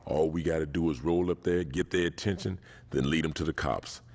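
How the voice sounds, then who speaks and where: A hardened crime boss for a game cutscene. The voice is very low and husky, heavy with gravel, and he talks with gruff, vengeful pride. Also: steady tone, fairly quick, a little mellow. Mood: cool, scheming. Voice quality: deep gravelly husky rasp. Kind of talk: video game cutscene acting.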